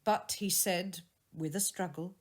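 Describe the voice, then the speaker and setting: A woman's voice: on the low side, fairly even intonation, a little slow. A woman reading scripted literary narration as an audiobook narrator.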